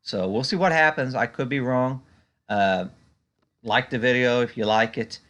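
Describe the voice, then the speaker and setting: A man giving unscripted stock market commentary in a conversational, halting way, often padding with "you know". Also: mid-range voice, fairly bright tone, muffled recording. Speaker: stock market analyst and video host.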